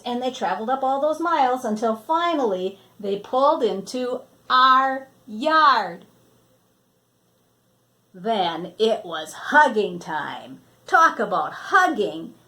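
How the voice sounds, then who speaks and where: A woman's voice: fairly high pitch, big pitch swings, slow pace, pushed and bright. An older woman reading a children's storybook aloud, expressively, drawing out words for emphasis. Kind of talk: read-aloud storybook narration.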